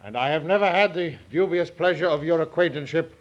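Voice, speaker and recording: A man as pompous elderly gentleman con artist, slightly high pitch, flowery diction, easily flustered, dull old radio sound. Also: fast talker, close to an everyday voice. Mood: indignant. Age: older. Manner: haughty, stammering, flowery formal diction. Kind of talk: 1940s radio drama acting. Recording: muffled vintage 1940s radio recording.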